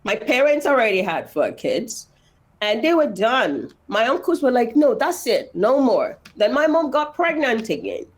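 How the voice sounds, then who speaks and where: A woman in her thirties from Nigeria with a Nigerian accent, telling her life story earnestly as an interview guest. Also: fairly high pitch. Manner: earnest, emphatic, repeats phrases for weight.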